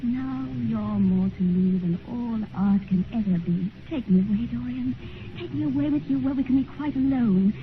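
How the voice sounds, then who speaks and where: A woman's voice: on the high side, moderate pace, slight background noise, close to an everyday voice. An actress playing a naive, lovestruck young stage girl, impassioned and theatrical, in a 1950s radio drama. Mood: rapturous, pleading. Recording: tinny 1950s radio recording.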